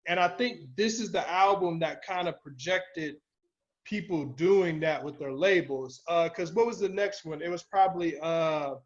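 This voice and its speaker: Higher-pitched man, slangy podcast talk. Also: unhurried. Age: older than the co-hosts. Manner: slangy, swearing. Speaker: podcast co-host. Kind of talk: casual album discussion. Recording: dull lo-fi audio.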